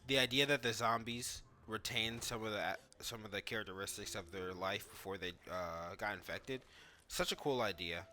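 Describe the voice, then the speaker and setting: A man with a slightly low but bright, crisp-edged voice hosts a gameplay video. His commentary is casual and informal, as if thinking out loud while he plays. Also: moderate pace, slight background noise. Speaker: gaming video host.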